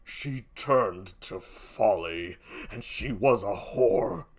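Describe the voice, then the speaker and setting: A performer acting slow, anguished, menacing tragic husband. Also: low-pitched, expressive, voice pushed down, heavily acted. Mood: bitter rage. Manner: slow, heavy, declamatory, menacing. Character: anguished, jealous, commanding male murderer, tragic Shakespearean husband. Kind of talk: classical stage tragedy performance.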